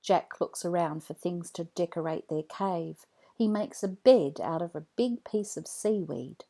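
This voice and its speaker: A woman narrating a children's read-along story from a script, in an expressive storytelling manner. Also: lowish voice, a little slow, vocal fry.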